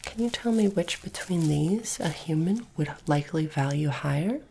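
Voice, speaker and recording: A woman doing a soft-spoken, whispered ASMR roleplay as a polite, formal alien interviewer, with deliberate phrasing. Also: low-pitched, expressive, creaky, a little hiss or hum.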